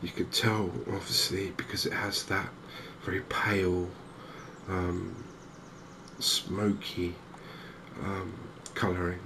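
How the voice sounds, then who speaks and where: A softly spoken man giving hesitant, unhurried ASMR-style commentary over a video game. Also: low voice, little pitch movement. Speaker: gaming video host. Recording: clear game ambience noise behind voice. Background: outdoor wildlife ambience from a fishing game.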